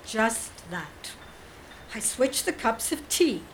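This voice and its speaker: A genteel woman in an audio drama with a high, swooping voice. She speaks slowly and politely, with hesitant phrasing and an innocent sweetness that covers cool cunning. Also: big pitch swings, a little hiss or hum. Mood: apologetic, explaining. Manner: hesitant, polite, drawn-out delivery. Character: genteel, coolly devious woman feigning sweet innocence with a friend.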